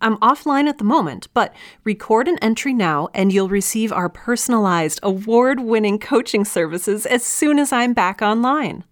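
A woman's voice: a little high, expressive, normal speed, few pauses, no room sound, studio quality, lightly acted. A woman voicing a relentlessly affirming virtual life coach, giving a polished, upbeat automated greeting in an audio drama. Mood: brightly affirming.

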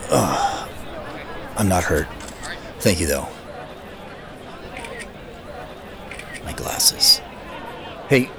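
A man's voice: medium pitch, noisy background. An actor playing a harried, self-conscious man in an audio drama, speaking in a wry, confiding way. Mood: flustered. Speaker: narrator and lead actor. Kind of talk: first-person audio drama narration and acting. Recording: produced audio drama with music, street ambience.

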